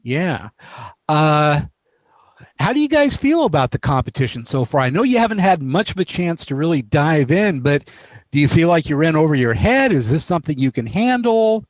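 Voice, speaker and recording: A man's voice: a little high, sing-song, normal speed, no room sound. A man hosting a casual video call interview, jokey and encouraging, teasing the guests. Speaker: interviewer host.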